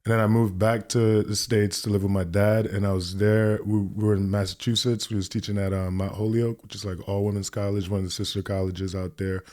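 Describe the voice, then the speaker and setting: Young new york guy, lowish voice, easygoing interview chat, lots of "um" and "you know". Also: fairly quick, few pauses. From New York City. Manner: relaxed, frequent fillers and restarts. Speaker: guest artist. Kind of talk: casual interview conversation.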